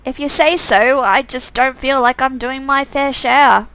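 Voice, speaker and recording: A young visual novel heroine, voiced by a woman, frank and questioning with a suspicious streak. The voice is bright and fairly high, recorded very dry. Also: a little slow, no room sound, heavily acted, poor audio. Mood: unsure. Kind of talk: acted visual novel dialogue.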